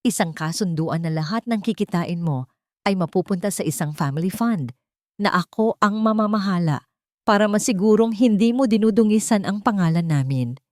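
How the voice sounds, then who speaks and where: A woman's voice: medium pitch, animated, normal speed, a little mellow, no room sound, clean studio sound, close to an everyday voice. A woman voicing a menacing, falsely sweet wealthy matriarch in an audio drama. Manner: controlling, deceptively sweet turning icy.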